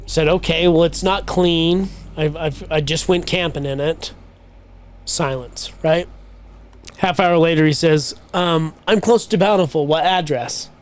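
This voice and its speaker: A man's voice: on the high side, unhurried. Chatty man telling a long story. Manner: swearing, rambling anecdote with quoted voices. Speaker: podcast co-host. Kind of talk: casual storytelling chat.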